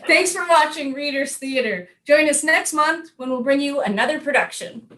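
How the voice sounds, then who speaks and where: High bright woman, far from mic. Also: moderate pace. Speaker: readers theater performer and presenter. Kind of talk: amateur theater performance and sign-off.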